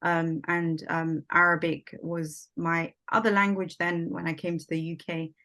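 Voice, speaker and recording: A woman's voice: on the low side, flat delivery, unhurried, bright, forceful tone. A woman whose first language is Swahili, born in Somalia, talking casually as a guest with many fillers like "like" and "um". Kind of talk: casual conversation.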